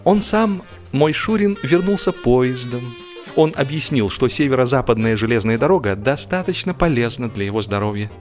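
A man's voice: medium pitch, expressive, normal speed. Male storyteller, wry and theatrical, over music. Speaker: audiobook narrator. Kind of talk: expressive humorous scripted narration.

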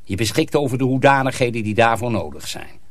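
An actor playing a sinister, commanding sorcerer miller in a radio drama, persuasive and scornful. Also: male voice, medium pitch, expressive, fairly quick, no echo, lightly acted. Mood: scornful, coaxing.

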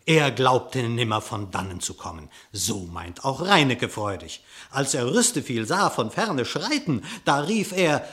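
A man narrating a verse fable theatrically, with dramatic, expressive declamation. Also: mid-range voice, measured pace. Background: music.

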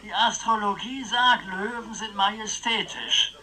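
An actor playing an eccentric, scheming older uncle in a radio crime drama, with a sly, theatrical, musing way of talking. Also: male voice, high voice, unhurried, bright, forceful tone, full character voice, low-quality recording. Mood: musing, grandiose. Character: eccentric, scheming old uncle, grandiose and philosophical.

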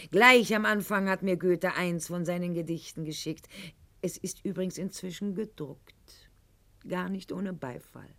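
An actress playing a proud, bitter, sickly aristocratic lady in a theatrical radio monologue, with mocking, precise, formal diction. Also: lowish voice. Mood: haughty, scornful.

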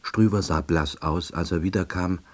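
A self-important, awkward salesman narrates a radio drama in a low, husky voice. His delivery is dry, earnest and full of bureaucratic wordiness. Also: steady tone, moderate pace. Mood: wry, earnest. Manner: dry, wordy, officialese.

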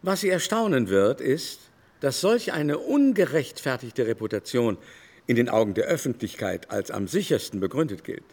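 An actor playing a haughty, worldly baron in a German radio drama, lecturing scornfully and emphatically at length. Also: male voice, mid-range voice, very animated, normal speed, darkish tone. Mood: emphatic, knowing. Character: haughty, worldly aristocratic baron, impatient know-it-all. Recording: music behind the voice.

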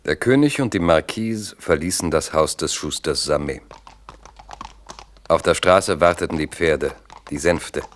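A man narrating a radio drama in a steady, formal manner. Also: extremely low pitch, unhurried.

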